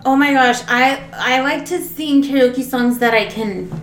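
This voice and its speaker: A woman with a high-pitched voice, a podcast guest chatting casually, giggly and rambling, poking fun at herself. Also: unhurried, echoey room, a little hiss or hum. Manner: giggly, rambling, self-deprecating. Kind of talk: casual chat.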